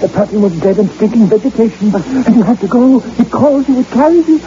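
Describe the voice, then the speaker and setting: An actor playing a stubborn, theatrical doctor who insists on reason while dread creeps in, in an old-time radio horror drama. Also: male voice, extremely high pitch, brisk. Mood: feverish dread. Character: stubborn rationalizing male doctor, slowly unravelling into dread. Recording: muffled, noisy vintage radio recording.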